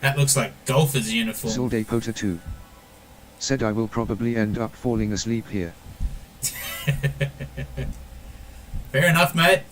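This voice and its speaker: A man's voice: medium pitch, a little slow, long pauses, a bit bright, a little hiss or hum, low-quality recording. An Australian man streaming a game, chatting casually and calling everyone mate while he reads viewer messages aloud. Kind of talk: casual gameplay stream chat.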